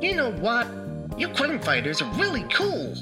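A woman voicing a cheeky, cowardly storybook rat burglar with a sly, excitable manner. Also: on the high side, normal speed. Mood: contrite, eager. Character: cheeky thieving rat burglar, cowardly but eager to reform. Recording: music behind the voice.

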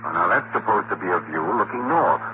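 An actor playing a quick-witted, slangy, insistent newspaper photographer sleuth in a 1940s radio drama. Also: male voice, lowish voice, expressive, lightly acted. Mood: excited, insistent. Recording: scratchy, muffled, noisy 1940s radio recording.

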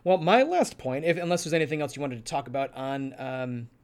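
A man co-hosting a podcast in a casual, instructional style, enunciating a little deliberately. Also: fairly high pitch, lively intonation, clean tone. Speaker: podcast co-host. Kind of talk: casual instructional discussion of character voices.

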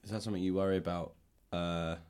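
A man hosting a conversational podcast and interviewing a guest, softening his phrasing as he goes. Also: low voice, fairly even intonation, quick pace, smooth, no room sound.

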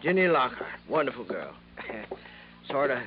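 An actor playing a folksy, nosy but helpful apartment house manager in a 1950s radio drama, chatty and colloquial. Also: male voice, medium pitch, big pitch swings, measured pace. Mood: obliging, chatty. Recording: muffled, noisy 1950s radio recording.

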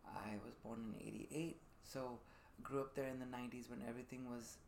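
A man in his thirties from Dubai, a podcast guest telling his personal story reflectively in thoughtful, measured phrases. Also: medium pitch, steady tone, vocal fry. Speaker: podcast guest, performing artist. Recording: faint room noise behind the voice.

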